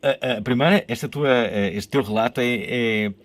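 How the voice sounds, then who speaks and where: A man with a European Portuguese accent hosting a casual radio interview, inquisitive and halting, often interjecting. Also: medium pitch, dry recording. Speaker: radio show host. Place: radio studio.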